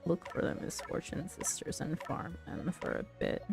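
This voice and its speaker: A woman's voice: on the low side, big pitch swings, moderate pace, creaky, music in the background. A woman chatting casually on a live game stream as its host, thinking aloud in a friendly, chatty way.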